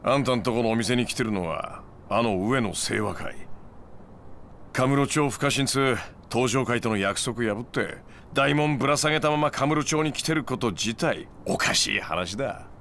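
A man acts out a smug moneylender in his thirties from a crime game. He talks at an everyday male pitch in a glib, casual, needling way, with faint noise behind him. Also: normal speed, a bit bright, close to an everyday voice. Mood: needling, lecturing. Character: smug, laid-back moneylender goading young gangsters. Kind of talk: video game cutscene acting.

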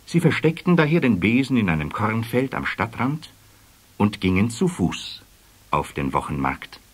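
Husky, animated male storyteller. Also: on the low side, moderate pace. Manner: expressive storytelling. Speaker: narrator. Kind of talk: scripted children's story narration.

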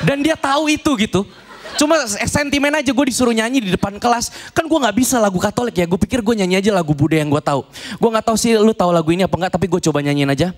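A man's voice: sits high, quick pace. A young man performing a stand-up comedy set on a TV studio stage with a handheld mic, acting out voices as he tells his jokes. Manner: animated, acts out voices and dialogue.

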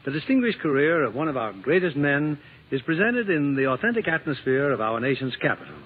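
A man's voice: a little high, sing-song, a little fast. A man hosting a radio drama in a scripted, formal 1950s broadcast style. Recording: muffled, noisy old radio broadcast.

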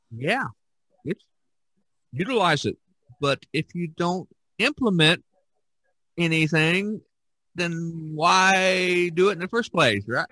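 A man hosting a casual podcast discussion, thinking aloud in a halting way and repeating words. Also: on the high side, bright, forceful tone, dry recording, poor audio. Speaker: podcast host and artist.

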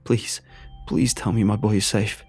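A man's voice: on the low side, level tone, a little slow, slightly rough, soft background music. A man voicing a grieving father who speaks shakily and breathlessly on the phone. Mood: desperate pleading. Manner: shaky, breathless, pleading.

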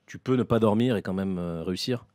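A man's voice: low-pitched, fairly quick, a little mellow, no echo. A man interviewing guests casually in a radio studio, framing things plainly for listeners.

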